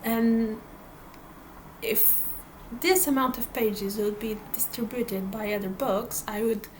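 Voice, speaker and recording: A woman whose first language is Portuguese talks unhurriedly to her viewers, her voice sitting a little high. She often pauses with fillers and restarts as she chats at home, with a faint hum of the room behind her. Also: rough recording. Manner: hesitant, many fillers and restarts. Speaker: book vlogger. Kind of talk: casual chat to camera.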